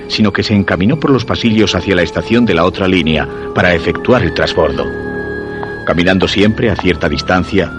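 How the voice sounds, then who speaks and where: An actor with a Spain accent playing a shy, obsessed man who tells his own suspense story in measured, literary radio-drama narration. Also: low-pitched. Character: Guillermo, shy obsessed man secretly following a young woman.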